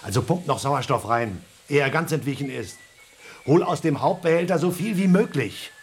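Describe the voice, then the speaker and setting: A man's voice: fairly high pitch, expressive, moderate pace, a little hiss or hum. An actor playing an anxious space crewman in a radio play, pressing urgent questions in an agitated way. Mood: alarmed. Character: anxious male space crewman short of air.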